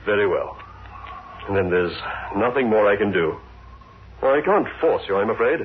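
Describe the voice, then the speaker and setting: An actor playing a grave, earnest doctor in a 1960s radio drama, speaking formally and with measured, reproachful weight. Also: male voice, lowish voice, animated, a little hiss or hum, natural voice. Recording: thin, phone-like old radio recording.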